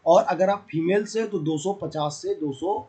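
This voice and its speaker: High-pitched North Indian man teaching informally. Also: unhurried, dark tone. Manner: conversational, repeatedly checking in with listeners. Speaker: exam coaching teacher. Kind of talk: informal explanatory lesson.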